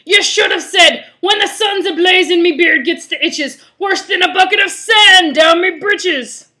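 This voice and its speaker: A woman's voice: very high voice, normal speed, sharp tone, pitched above the performer's own voice. A woman voicing a cranky, blustery male pirate captain who bellows in pirate slang for a storybook. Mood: outraged. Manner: bellowing, rhyming pirate slang with bad grammar.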